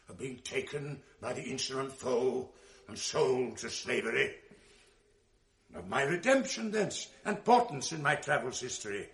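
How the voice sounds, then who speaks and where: A man's voice: medium pitch, a bit bright. A man playing a proud, eloquent middle-aged general in a 1980s radio drama, delivering sweeping declamatory verse.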